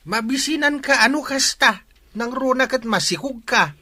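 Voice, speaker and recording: A man's voice: extremely high pitch, lively intonation, normal speed, sharp tone, full character voice. An actor playing a concerned, practical middle-aged father in a radio drama, speaking insistently. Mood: worried, insistent. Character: concerned, practical father of a grown pregnant daughter.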